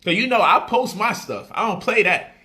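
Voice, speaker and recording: A man's voice: high-pitched, lively intonation, normal speed, sharp tone. A man casually explaining stock options on a livestream, repeating phrases for emphasis and switching into loose street slang.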